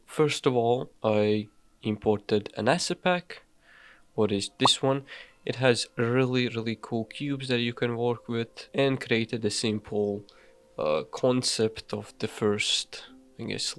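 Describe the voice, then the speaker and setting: Male devlog narrator, casual and self-mocking, mid-range voice. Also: mid-range voice. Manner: loose and offhand, frequent swearing and fillers; self-deprecating, hurried. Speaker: game dev YouTuber.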